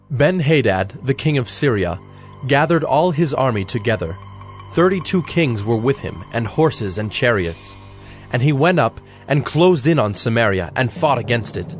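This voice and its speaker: A man narrating an audio Bible as a scripted, measured storyteller. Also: medium pitch, very animated. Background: music.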